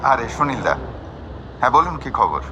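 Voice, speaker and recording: An actor playing a cooperative police officer who gives measured, pause-filled case briefings in an audio detective drama. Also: male voice, medium pitch, sing-song, muffled recording, background noise, close to an everyday voice. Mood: agreeable. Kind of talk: Bengali radio detective drama acting.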